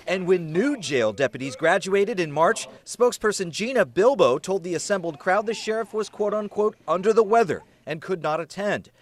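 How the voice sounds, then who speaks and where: A man's voice: high-pitched, lively intonation, normal speed, hardly any pauses. An American man reading scripted investigative news as a television reporter, with a serious, emphatic broadcast delivery.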